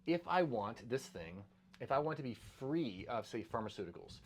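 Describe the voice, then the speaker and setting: A man's voice: mid-range voice, sing-song, moderate pace. A middle-aged man, a doctor, talking earnestly to the camera about health habits, often repeating words and restarting his sentences. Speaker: doctor giving health advice. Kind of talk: casual monologue to camera.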